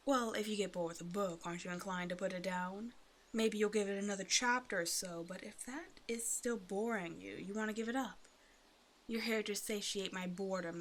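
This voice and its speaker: A woman's voice: medium pitch, lightly acted. Teasing predatory naga woman acting over background noise. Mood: teasing menace. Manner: teasing, condescending, casual conversational menace. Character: teasing predatory female naga, bored, self-sufficient, menacing. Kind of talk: ASMR roleplay, one-sided scripted dialogue to the listener.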